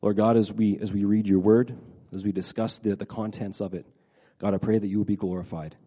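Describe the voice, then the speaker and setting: A middle-aged pastor preaching a casual sermon, a little nasal from a head cold, halting and repeating words with many fillers. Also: male voice, on the low side, fairly even intonation, dark tone.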